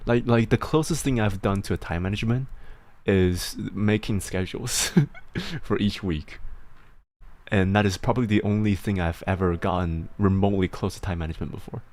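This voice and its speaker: A man's voice: fairly low pitch, moderate pace, darkish tone. A young adult man hosting a casual stream where he gives advice, rambling and giggly, with frequent laughs and restarts. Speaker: host giving advice on submitted struggles. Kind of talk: casual livestream advice chat.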